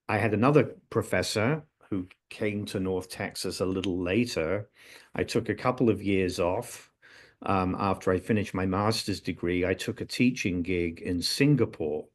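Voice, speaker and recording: An older man from England, a music theory professor recalling his career on a video call from his office, with relaxed storytelling and joking asides. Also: low-pitched. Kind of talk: casual interview. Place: office, indoors. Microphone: video call, low-angle webcam.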